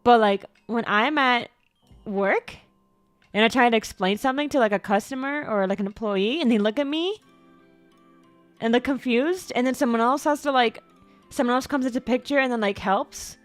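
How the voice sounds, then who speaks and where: A young woman chatting casually on a livestream. She rambles, repeats and stumbles over words, and her voice sometimes jumps suddenly into high squeaks. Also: normal speed, dry recording. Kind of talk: casual stream chat. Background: faint background music.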